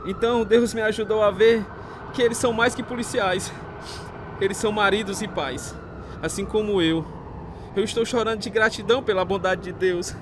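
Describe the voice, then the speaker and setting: Actor as high-voiced emotional man amid traffic. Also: steady tone, moderate pace, close to an everyday voice. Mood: moved, grateful. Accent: Brazilian. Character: emotional, humbly grateful husband and father. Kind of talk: radio drama dialogue. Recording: clear street and car noise.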